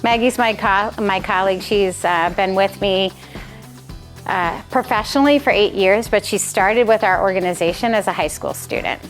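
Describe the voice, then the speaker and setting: A woman's voice: mid-range voice, moderate pace, faint music behind. An American woman in her forties speaking informally and with some self-deprecation as she presents to a small group in a conversational way. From Massachusetts, United States. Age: 40s. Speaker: presenter, nonprofit president.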